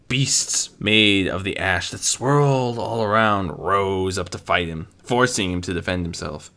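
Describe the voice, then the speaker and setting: A man's voice: deep voice, unhurried, creaky, bright, forceful tone. A man reading a scripted adventure story as narrator, dramatic in delivery, with an amateur's occasional stumble.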